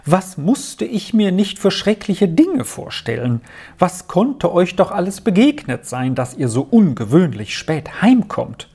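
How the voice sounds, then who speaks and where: A high, fretting aunt from an old storybook who wails her worries one after another, as a narrator puts her on. Her voice climbs well above the reader's own, and the words come slow and pleading. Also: big pitch swings, creaky, darkish tone, heavily acted. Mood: fretful. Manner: lamenting, wailing complaints. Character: anxious fretful middle-aged aunt.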